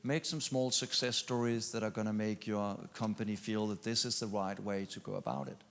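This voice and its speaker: A man, a keynote speaker, answering audience questions in halting talk full of repeated words and restarts. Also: lowish voice, fairly bright tone. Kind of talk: conference Q&A answers. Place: large conference hall. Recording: long hall reverb at a conference.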